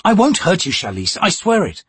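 An audiobook reader voicing a rash young male thief who talks urgently and pleadingly, with a breathy, husky tone. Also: huge pitch swings, moderate pace, no echo, exaggerated voice. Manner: urgent, pleading, raised pitch.

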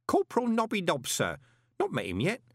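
A man's voice: high voice, wildly swooping pitch, brisk, no room sound, studio quality, pitched above the performer's own voice, full character voice. A man voicing a chatty, deferential elderly postal worker with a rambling, conspiratorial way of talking. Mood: gossipy. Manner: gossipy, rambling, ingratiating.

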